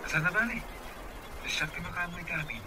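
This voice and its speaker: A man with a northern Philippine accent plays a friendly, low-key young suitor in radio comedy drama, casual and inviting. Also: brisk, close to an everyday voice. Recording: noisy background.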